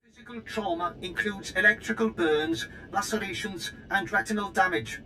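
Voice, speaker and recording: Buzzy, bright medical droid. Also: female voice, on the high side, moderate pace, vocal fry, a little hiss or hum, full character voice, low-quality recording. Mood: clinical. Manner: flat, formal, precise. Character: clinical, obedient medical droid.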